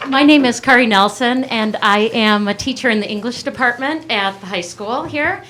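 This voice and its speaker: A woman's voice: on the high side, normal speed, pushed and bright. A woman giving an enthusiastic address at a school board meeting, introducing her colleagues one by one. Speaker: teachers' union president. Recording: echoey room from far off.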